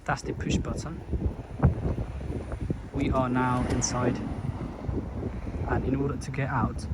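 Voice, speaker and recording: A man presenting an installation as a product walkthrough, speaking deliberately in short, halting phrases. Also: medium pitch, very noisy. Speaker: gate installer presenting his work.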